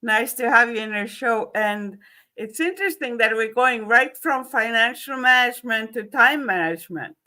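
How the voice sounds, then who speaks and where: A woman's voice: fairly high pitch, lively intonation, moderate pace, bright, forceful tone. A woman with an Estonian accent, a non-native English speaker, hosting an online interview with friendly, easygoing questions. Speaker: host.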